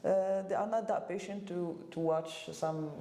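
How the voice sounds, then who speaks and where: A woman from Morocco, a French speaker, talks as a podcast guest in a halting way with many fillers. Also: fairly low pitch, steady tone, mellow. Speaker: guest, assistant director. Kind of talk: casual podcast chat. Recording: roomy room echo.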